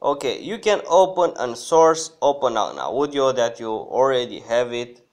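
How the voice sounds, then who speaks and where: Slow-talking man walking through an app. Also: mid-range voice, lively intonation. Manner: halting, hesitant. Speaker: app review host. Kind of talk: casual screen-recorded app tutorial.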